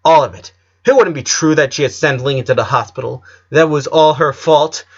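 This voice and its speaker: A man's voice: fairly high pitch, moderate pace, highs cut off. A man reading a scripted story aloud as an audiobook narrator.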